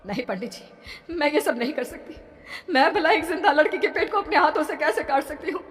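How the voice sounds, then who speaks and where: A woman's voice: high voice, lively intonation, brisk, pitched above the performer's own voice. A woman voicing an emotional, earnest aunt, brave but frightened, in a horror cartoon. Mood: fearful. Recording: long reverb, background noise.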